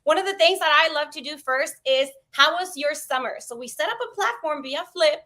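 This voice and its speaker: A young woman from South Texas, a bilingual teacher, talking in a gushing, energetic way on a video call. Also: sits high, moderate pace. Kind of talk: casual video-call chat. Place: room indoors.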